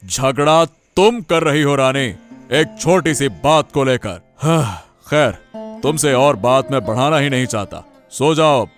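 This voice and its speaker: A principled, commanding cartoon king, played by a man. His voice sits at an ordinary male pitch and moves slowly and deliberately, with lordly firmness. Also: animated, faint music behind. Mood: stern, irritated. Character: principled, authoritative king, firm with his queen. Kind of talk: Hindi cartoon dialogue acting.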